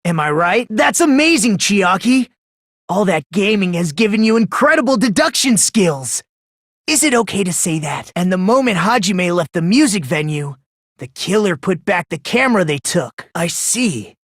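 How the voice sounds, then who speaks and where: Casting: performer as polite, unsettlingly excitable teenage boy for a mystery game; breathy gushing and soft musing, highly varied intonation, dry studio sound. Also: normal speed, no echo, lightly acted. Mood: eerily elated. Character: polite, self-loathing, eerily excitable teenage boy sleuth. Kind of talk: English video game voice acting.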